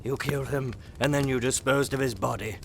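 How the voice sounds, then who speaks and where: Guy doing a rude haughty gentleman, gravelly and sharp, blunt accusations, a bit of noise behind, audio theatre. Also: medium pitch, fairly even intonation, fairly quick, close to an everyday voice. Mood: accusing.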